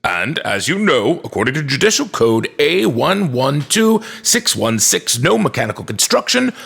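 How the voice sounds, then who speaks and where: A man's voice: medium pitch, sing-song, moderate pace, gravelly, a bit bright, husky, voice pushed up, heavily acted. A man voicing a cold, officious police inspector and father in a storybook, speaking formally. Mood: accusing.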